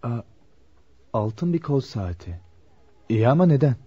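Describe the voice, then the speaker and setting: A man's voice: medium pitch, very animated, unhurried, warm tone, breathy edge. An actor playing a troubled, proud and morally strict young working man in a radio play, earnest and brooding. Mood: firm, uneasy. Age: young adult. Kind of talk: Turkish radio theatre acting. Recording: dull lo-fi radio drama recording.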